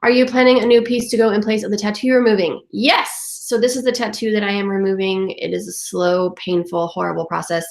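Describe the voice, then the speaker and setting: A young woman talks in a chatty, upbeat way, her voice congested from a cold. She speaks at an ordinary pitch and fills her talk with little fillers. Her voice rings in an echoey room at home. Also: fairly even intonation, brisk, creaky, few pauses. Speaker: vintage lifestyle vlogger. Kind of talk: casual live chat answering comments.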